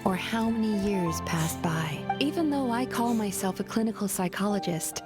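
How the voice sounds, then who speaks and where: Woman voicing a measured, reflective dream-eater psychologist, music behind. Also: medium pitch, lively intonation, natural voice. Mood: wistful. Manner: measured, soft-spoken, contemplative. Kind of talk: English video game voice acting.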